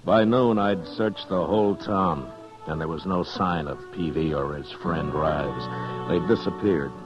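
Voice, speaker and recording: Deep voiced old west marshal, terse and steady, muffled 50s radio with music. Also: male voice, unhurried. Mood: grim narration. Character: stern, steady frontier town marshal, adult man. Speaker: lead actor and narrator. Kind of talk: 1950s western radio drama acting.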